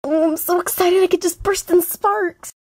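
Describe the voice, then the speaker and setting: Girl doing a gushy cartoon monster teen, high and perky, obsessed with looks. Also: steady tone, normal speed, darkish tone, no room sound. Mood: perky, excited. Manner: perky, gushing. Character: excitable, fashion-loving teenage girl monsters from a cartoon.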